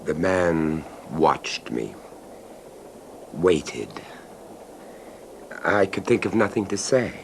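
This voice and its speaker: An actor playing an attentive train passenger who questions and narrates in a deliberate, dramatic manner in a 1970s radio drama. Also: male voice, low-pitched, sing-song, vocal fry, background noise, full character voice. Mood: ominous, stunned. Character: attentive male train passenger, listener and storyteller.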